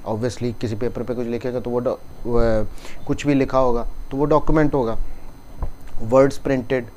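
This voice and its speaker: A man's voice: medium pitch, steady tone, moderate pace, darkish tone. Pakistani man lecturing, noisy recording. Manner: didactic, repeats and rephrases points. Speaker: law lecturer. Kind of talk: explanatory lecture, reading English clauses and explaining in Urdu. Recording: noticeable background noise.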